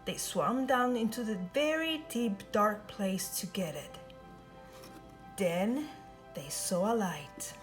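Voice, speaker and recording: A woman reading a children's picture book aloud in an expressive, sing-song storytelling manner. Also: medium pitch, slow pace, soft background music. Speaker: storybook reader.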